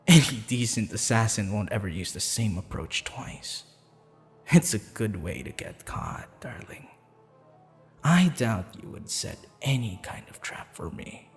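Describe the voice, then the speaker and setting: A smug, dangerous male assassin in love, acted by a man, murmuring intimately in an ASMR roleplay. He talks slowly with a creaky, gravelly edge, often whispering, in a long reverb. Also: lowish voice, animated, soft background music. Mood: confident, teasing. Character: lovestruck, menacing, smugly teasing male assassin.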